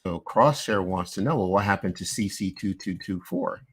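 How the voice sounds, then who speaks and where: A man co-hosting a live-streamed podcast, recapping a show episode in a loose, animated way with lots of restarts and "you know.". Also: low voice, moderate pace, breathy edge. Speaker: podcast co-host.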